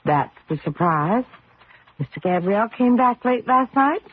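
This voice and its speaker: A supportive, coaxing wife. Her voice is very husky and animated in pitch. It sounds tinny and narrow, as on old radio. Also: a little slow. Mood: cheerful, coaxing. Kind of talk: old radio drama acting.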